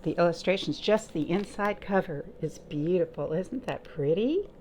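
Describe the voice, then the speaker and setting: A woman's voice: on the low side, animated, normal speed, darkish tone, slight background noise. A woman leading a library storytime, chatting encouragingly to young children and asking them simple questions as she reads aloud.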